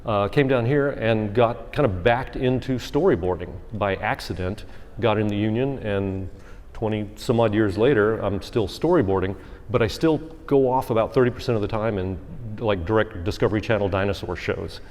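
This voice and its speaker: A man's voice: fairly low pitch, normal speed, a little mellow. A man speaking as a panelist in loose, filler-heavy casual talk. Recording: reverberant convention hall.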